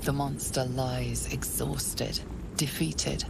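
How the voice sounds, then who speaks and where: A woman voicing an ominous game narrator, measured and portentous, often half-whispering as she addresses the listener. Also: rumbling low, fairly even intonation, gravelly, very noisy, heavily acted. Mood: dark, brooding. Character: ominous, hushed fantasy narrator of dark thoughts. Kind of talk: dramatic second-person game narration.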